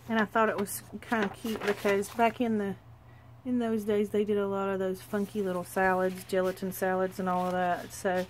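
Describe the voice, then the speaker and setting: A woman, stuffy from a cold, chatting quickly and gushingly as she shows a handmade journal at her craft table. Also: mid-range voice, a little hiss or hum, poor audio. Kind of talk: casual craft walkthrough.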